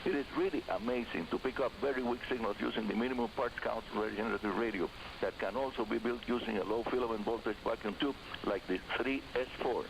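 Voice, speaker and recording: An older man presents a hobby radio show in a fairly low voice. His delivery is scripted and emphatic, speaking to listeners as friends. The sound is a shortwave broadcast with clear hiss and static over the voice. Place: radio studio, heard over shortwave receiver. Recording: hissy, noisy shortwave radio broadcast reception. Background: loud hiss and static.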